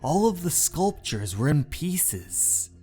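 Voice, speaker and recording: A man's voice: a little high, very animated, mellow, breathy edge, soft background music, pitched above the performer's own voice, exaggerated voice. A man playing an obsessed, unhinged painter in a horror story, narrating in a theatrical, brooding manner. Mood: obsessive, uneasy. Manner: slow, theatrical, brooding, with anguished outbursts. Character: obsessed painter, unhinged, infatuated, confessing a murder. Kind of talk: dramatic first-person horror story narration.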